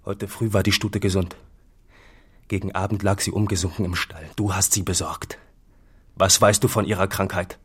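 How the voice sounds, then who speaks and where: An actor playing an embittered, suspicious farmer in a radio drama, speaking in a formal, declamatory, accusing way. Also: male voice, deep voice, little pitch movement, moderate pace, fairly bright tone, slightly rough. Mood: grim suspicion. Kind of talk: German literary radio drama acting.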